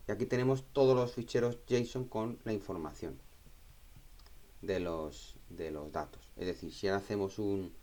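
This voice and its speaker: A man giving an informal software tutorial, explaining step by step, repeating himself and checking in with short tag questions. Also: sits low, normal speed. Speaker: tutorial instructor.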